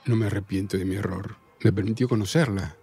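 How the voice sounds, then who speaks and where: A man's voice: lowish voice, steady tone, fairly quick, creaky, mellow. A man with an Argentine accent playing a polite, earnest, smitten dinner guest in a radio play. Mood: charmed, flirtatious.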